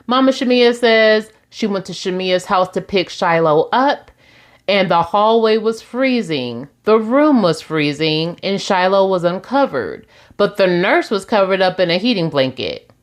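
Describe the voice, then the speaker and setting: A woman's voice: mid-range voice, very animated, measured pace. Sassy chatty woman in her forties. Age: 40s. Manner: sassy, chatty, laughs often, addresses listeners as y'all. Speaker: recap host. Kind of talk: casual reality TV recap.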